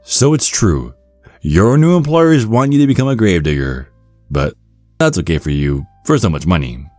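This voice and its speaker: Low-pitched man narrating, lively intonation. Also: normal speed, no room sound, faint music behind. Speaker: narrator and ASMR performer. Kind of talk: scripted ASMR narration. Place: close, dry recording with music behind.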